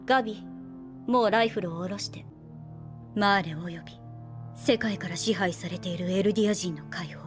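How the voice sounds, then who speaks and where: Anime role: young woman soldier, played by an actress, mid-pitched, calm, calculating, persuasive, gently teasing, scored with background music. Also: normal speed, pauses often, natural voice. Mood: calm, probing, then quiet, earnest. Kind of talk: anime drama acting.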